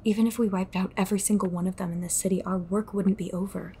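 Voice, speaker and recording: A woman playing a haunted, secretive fighter against an occult group in an audio drama, speaking cryptically and deliberately. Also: mid-range voice, fairly even intonation, warm tone, a little hiss or hum, close to an everyday voice. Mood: grave, insistent. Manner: cryptic, slow, deliberate, sighing. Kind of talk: audio roleplay drama acting.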